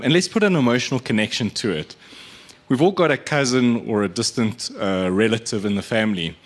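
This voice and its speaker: A man giving an informal, earnest, explanatory presentation to an audience. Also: fairly low pitch. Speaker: media agency speaker. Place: live event venue.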